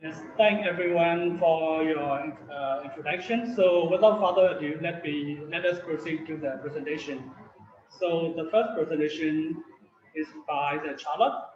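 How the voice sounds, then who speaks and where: A man's voice: fairly high pitch, a little slow, darkish tone. A man moderating an online roundtable, formally inviting each speaker in turn. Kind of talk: webinar moderating. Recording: roomy, dull webinar audio.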